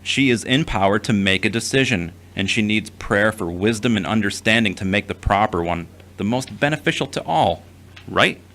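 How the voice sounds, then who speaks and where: Man playing a gentle young man, low bright voice, earnest, reassuring, fragile, audio drama. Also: lively intonation, normal speed. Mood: concerned.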